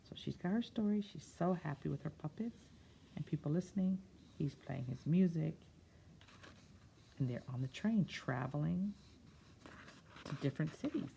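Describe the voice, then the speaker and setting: A woman's voice: low voice, expressive, normal speed, vocal fry, pauses often, dark tone, a little hiss or hum. A woman reading a picture book aloud to children, drawing out phrases expressively and breaking off into simple, casual explanations. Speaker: children's storyteller.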